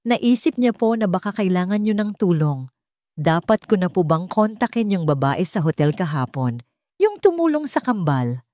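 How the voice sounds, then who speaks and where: A courteous Filipino woman executive assistant acted by a narrator in an audio drama. She sounds formal and businesslike, in a lowish, varied pitch with a dry sound. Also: very animated, moderate pace, warm tone, no room sound. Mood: tentative, polite. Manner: formal, professional.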